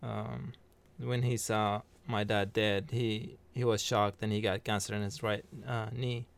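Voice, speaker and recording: A man's voice: on the low side, little pitch movement, a little slow, gravelly, clean tone. A man from Iraq, a non-native English speaker, telling his personal story casually as a podcast guest. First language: not English.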